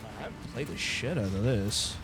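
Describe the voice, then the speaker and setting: A man co-hosting a gaming podcast, reacting quickly and excitedly to trailers and swearing freely. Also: fairly low pitch, over music. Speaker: podcast co-host. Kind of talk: casual chat reacting to game trailers.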